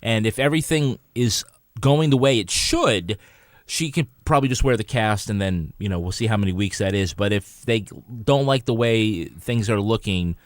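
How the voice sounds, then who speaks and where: A man's voice: lowish voice, moderate pace, no echo, clean studio sound. A middle-aged man co-hosting a podcast, chatting casually and acting out conversations as he tells stories. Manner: acts out quoted conversations. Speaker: podcast co-host. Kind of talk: casual chat, animated storytelling.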